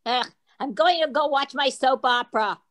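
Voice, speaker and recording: Woman as high-voiced, grumbling skeptical relative. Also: moderate pace, a bit bright. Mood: exasperated, sarcastic. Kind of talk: reader's theatre comedy.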